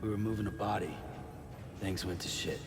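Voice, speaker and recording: An actor playing a terse, weary lone courier in a video game, guarded and understated in a gravelly voice. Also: male voice, low voice, fairly quick, natural voice. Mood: somber, subdued. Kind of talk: video game cutscene acting. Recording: echoing hall with music behind.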